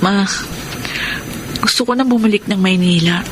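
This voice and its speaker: An actress with a Filipino accent playing a lovesick, dutiful young daughter in a radio drama, earnest and heartfelt. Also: female voice, mid-range voice, moderate pace, background noise, natural voice. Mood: lovelorn, sad.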